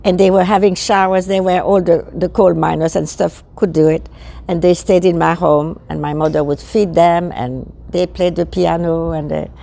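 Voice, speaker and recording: A woman in her eighties from Belgium, with a Belgian French accent, speaking English as a second language and recalling her childhood in a halting way that repeats words. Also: fairly low pitch, steady tone, vocal fry, mellow. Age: 80s. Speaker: guest. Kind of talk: recounting childhood memories.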